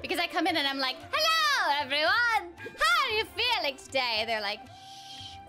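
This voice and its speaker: A woman's voice: very high-pitched, wildly swooping pitch, moderate pace, over loud music. A young woman chatting casually on a livestream, playful and exuberant, theatrically acting out little quotes. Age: young adult. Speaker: streamer and voice actor. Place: small indoor room, streaming over a game.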